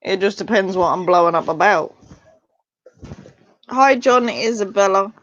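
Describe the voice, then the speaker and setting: A woman's voice: mid-range voice, unhurried, no room sound. A woman chatting casually as a guest on a livestream, talking in a blunt, matter-of-fact way. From Portland, Oregon, United States. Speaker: interview guest. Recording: dull, lo-fi streamed audio.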